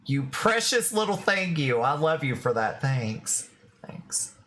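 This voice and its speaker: A man's voice: fairly high pitch, sing-song, takes it slowly, sharp tone. A man in his thirties chatting casually and rambling on his live stream. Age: 30s.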